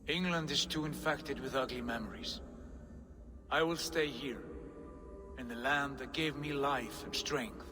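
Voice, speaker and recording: An actor playing a stern Norse chieftain who speaks in a grave, measured, formal way, with music behind. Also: male voice, medium pitch, close to an everyday voice. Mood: solemn. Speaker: older brother and settlement leader.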